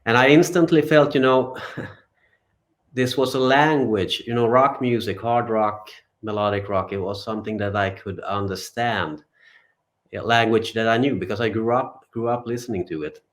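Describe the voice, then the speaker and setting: A man in his forties from Finland, a guest musician giving relaxed, rambling interview answers. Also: fairly low pitch, moderate pace. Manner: relaxed, rambling, many fillers and restarts.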